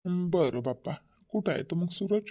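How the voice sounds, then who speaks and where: Lady doing a village guy in a cartoon, low voice, mild and agreeable, varhadi marathi accent, bit muffled recording. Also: animated, moderate pace, close to an everyday voice. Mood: reluctant. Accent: Varhadi Marathi of Vidarbha, India. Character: mild, agreeable village men, father and grown sons. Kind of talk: Marathi family cartoon story acting. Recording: slightly dull, lo-fi sound.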